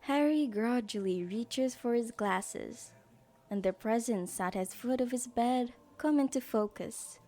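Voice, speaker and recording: A female narrator of an audio drama. She reads the scripted story slowly in a warm, mellow, fairly high voice. Faint music plays behind her.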